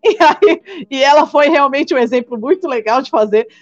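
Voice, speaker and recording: Guest: high, bubbly São woman in her twenties, slangy and laughing, on slightly muffled audio with light music. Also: moderate pace. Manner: excitable, laughing often, slangy. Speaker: podcast guest, returned missionary. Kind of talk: casual interview chat.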